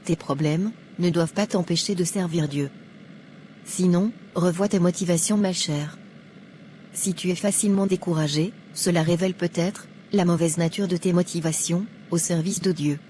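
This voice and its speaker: Woman playing a preachy, judgmental young woman, low soft-toned voice. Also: fairly even intonation, normal speed, a little hiss or hum, close to an everyday voice. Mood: preachy, stern. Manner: preachy, lecturing. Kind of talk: scripted cartoon dialogue. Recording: music behind the voice.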